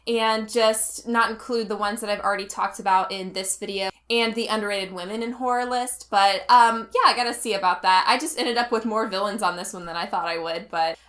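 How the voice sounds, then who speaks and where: Congested chatty woman, bright voice. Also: medium pitch, fairly even intonation, brisk, few pauses. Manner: chatty, rambling with fillers. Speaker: host. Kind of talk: casual video commentary.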